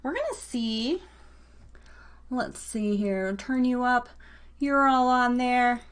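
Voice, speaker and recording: A woman's voice: a little high, lively intonation, slow pace, clear, resonant tone. A woman hosting a live online radio show, chatting casually and playfully with listeners and a guest. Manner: chatty, playful, informal teasing.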